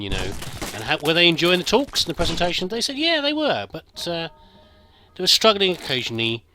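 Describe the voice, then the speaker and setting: Brief: middle-aged British man, bright voice, rambling game-commentary storyteller, faint hiss behind. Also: medium pitch. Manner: rambling, self-deprecating, hesitant restarts. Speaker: gameplay commentator. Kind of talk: casual storytelling over gameplay.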